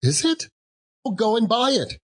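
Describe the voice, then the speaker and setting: A man playing a giddy, reformed old gentleman in an audiobook, exclamatory and effusive, given to chuckling. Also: extremely high pitch, big pitch swings, unhurried, voice pushed up, full character voice. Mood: giddy delight.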